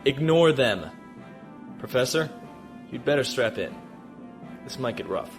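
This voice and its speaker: An actor playing a calm, determined spaceship pilot in a science fiction audio drama, speaking in clipped commands. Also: male voice, mid-range voice, measured pace, music in the background. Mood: tense command. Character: calm, determined male spaceship pilot, steady under fire.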